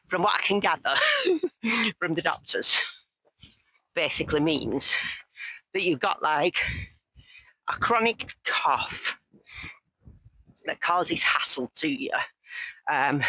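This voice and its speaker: Hoarse, chatty woman outdoors. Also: on the low side, sharp tone, dry recording, rough recording. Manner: chatty, rambling, jokey and self-mocking. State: hoarse, losing her voice. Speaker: vlogger. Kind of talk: casual chat to camera while hiking. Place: outdoors on a mountain path.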